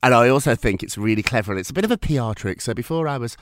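A man's voice: medium pitch, lively intonation, rapid-fire. A man hosting a gossip podcast, chatty and theatrical, with a slight cold. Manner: chatty, laughs often, theatrical asides. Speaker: podcast host. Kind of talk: casual gossip chat.

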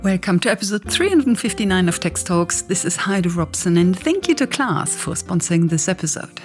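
Brisk woman podcast host. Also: lowish voice, very animated, soft background music. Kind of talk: hosting and interviewing.

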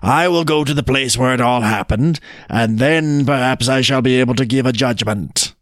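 A man's voice: medium pitch, moderate pace, a bit bright, no echo, studio quality, close to an everyday voice. A man voicing a sly jackal in a storybook tale who plays muddled and dim, hesitant and plaintive. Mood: puzzled. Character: sly trickster jackal feigning muddled, dithering stupidity. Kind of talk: storybook character reading.

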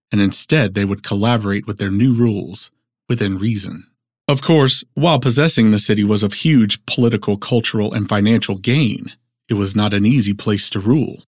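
A man playing a formal, measured narrator who sets out a fictional city's history in an audio drama. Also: fairly low pitch, no room sound, clean studio sound, natural voice.

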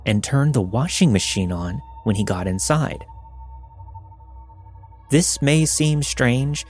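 A man's voice: on the low side, a little mellow. A man hosting a podcast and reading a scripted true horror story as narrator.

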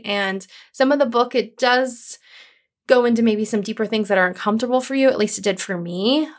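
A woman hosting her own podcast as a coach, talking to listeners in an upbeat, encouraging, conversational way with a calming voice. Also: mid-range voice. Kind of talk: solo podcast episode.

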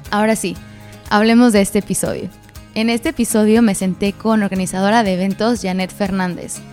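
A woman hosting a casual podcast, upbeat and quick to laugh, with slang. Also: medium pitch, fairly even intonation, a little mellow, faint music behind. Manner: upbeat, laughs often, uses Mexican slang. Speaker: podcast host.

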